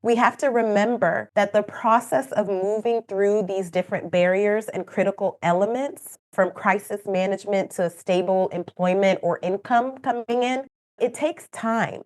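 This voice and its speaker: A woman's voice: medium pitch, steady tone, normal speed, darkish tone. Kenyan woman explaining earnestly. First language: not English. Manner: earnest, explanatory, with light laughs and asides. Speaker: program manager, guest expert. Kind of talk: podcast interview answer.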